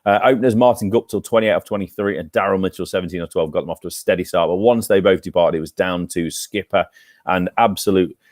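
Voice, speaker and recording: A man's voice: low-pitched, quick pace, a little mellow, no echo, clean studio sound. A man co-hosting a cricket podcast, chatty and teasing as he reels off match stats. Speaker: podcast co-host. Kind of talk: casual cricket chat and match recap.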